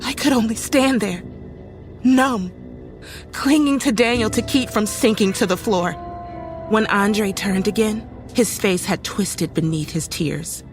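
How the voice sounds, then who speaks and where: A woman's voice: medium pitch, unhurried, lightly acted. Actress as serious woman narrator, music underneath. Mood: horrified. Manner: serious, suspenseful storytelling. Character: uneasy young woman recounting a horrifying night. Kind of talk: dramatic scripted first-person horror narration.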